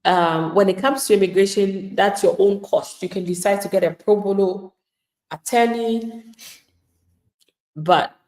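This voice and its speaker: A woman's voice: medium pitch, fairly even intonation, a little slow, long pauses, darkish tone, reverberant. A woman immigration attorney giving live legal advice to viewers in an emphatic, plain-spoken way, acting out the replies of people she describes.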